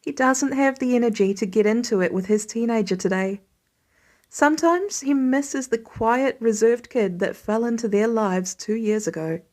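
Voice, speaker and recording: High-voiced woman reading a story. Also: moderate pace, darkish tone. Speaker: fan fiction audiobook reader. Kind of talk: expressive scripted story narration.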